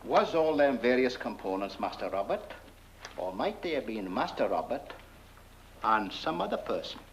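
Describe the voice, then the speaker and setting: A man's voice: mid-range voice, sing-song, normal speed. A man playing a bumbling, deferential British village constable, hesitant, rambling and chatty, in comic horror film acting. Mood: fussy, probing. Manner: hesitant, rambling, throat-clearing, polite. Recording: dull old film soundtrack, faint hiss.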